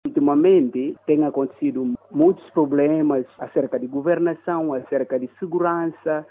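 Husky high-pitched man on muffled line. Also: normal speed. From Guinea-Bissau. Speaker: political commentator interviewed. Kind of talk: interview analysis. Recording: muffled, phone-like interview line.

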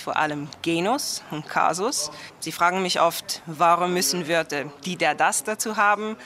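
Bright-voiced woman teacher. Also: lowish voice, slight background noise. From South Africa. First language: Afrikaans. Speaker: German teacher. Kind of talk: prepared personal self-introduction.

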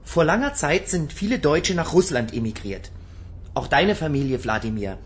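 A friendly radio reporter, played by an actor, asks his questions with lively, rising and falling intonation. The delivery is clear and engaged. Scripted language-course radio drama. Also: mid-range voice, moderate pace, lightly acted. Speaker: radio interviewer.

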